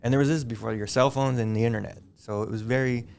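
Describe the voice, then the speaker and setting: A Canadian-born man with a slight Canadian accent, a teacher chatting as a guest, telling stories in a halting way. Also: mid-range voice, steady tone, creaky, darkish tone, clean tone. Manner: chatty, storytelling, often halting. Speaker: high school teacher, guest. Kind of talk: casual interview chat.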